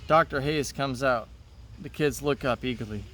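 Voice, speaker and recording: A man's voice: mid-range voice, moderate pace, a bit bright, distant mic. A man speaking as a teacher, reading a children's play aloud to his students. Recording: noticeable background noise.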